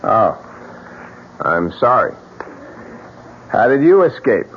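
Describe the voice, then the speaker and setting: A man's voice: medium pitch, big pitch swings, slow pace, a little hiss or hum. An actor playing a bitter, defiant, fatalistic man in an old radio mystery drama. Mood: morbid, bitter. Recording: dull old radio recording.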